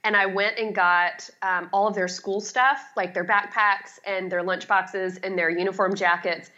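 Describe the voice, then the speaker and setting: A woman's voice: mid-range voice, steady tone, normal speed, sharp tone, lo-fi sound. A middle-aged woman talking casually as an expert guest in an interview, with a chatty, emphatic, self-deprecating way of explaining. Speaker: guest, home organizing expert.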